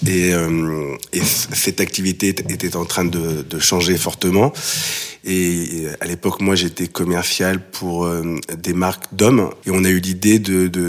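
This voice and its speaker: A Frenchman in his fifties from the Paris suburbs, a fashion executive answering interview questions with many fillers and restarts. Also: deep voice. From Paris suburbs, France. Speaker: fashion company managing director, guest.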